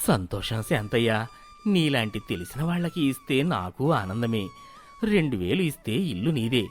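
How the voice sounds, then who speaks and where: A man voicing a gentle, humble middle-aged village father in a cartoon moral story, soft-spoken and earnest. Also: medium pitch, big pitch swings, moderate pace, faint music behind, close to an everyday voice. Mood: resigned, earnest.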